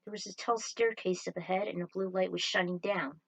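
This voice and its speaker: A woman's voice: fairly low pitch, fairly even intonation, brisk, vocal fry. Woman narrating an adventure story. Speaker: narrator reading own fan fiction. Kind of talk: scripted story narration read aloud.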